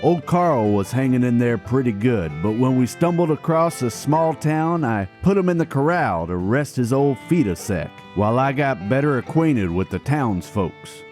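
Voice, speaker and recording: A man's voice: mid-range voice, expressive, moderate pace, few pauses, darkish tone, faint music behind, lightly acted. Man putting on a folksy drawling cowboy storyteller. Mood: folksy, unhurried. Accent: American cowboy. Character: folksy old-time cowboy telling a trail tale. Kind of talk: scripted campfire-style story narration.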